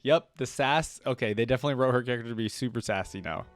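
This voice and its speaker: A man's voice: mid-range voice, fast talker, faint music behind. A man giving casual reaction commentary as a video host, informal, slangy and rambling.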